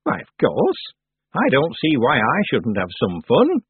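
Storybook old witch done by a male reader: stern, dry, lively swooping delivery, thin phone-like sound. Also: medium pitch, husky, no room sound, pitched above the performer's own voice, full character voice. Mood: brisk, matter-of-fact. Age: over 100. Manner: brisk, stern, calm. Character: ancient imperious witch, woman, drily matter-of-fact.

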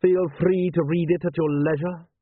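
A man voicing a calm, wily city ruler in an audiobook, measured and urbane, with drily polite understatement. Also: a little high, slightly rough, dry recording, voice pushed up. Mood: cheerful, bland. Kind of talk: audiobook character voice. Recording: narrow-band, phone-like sound.

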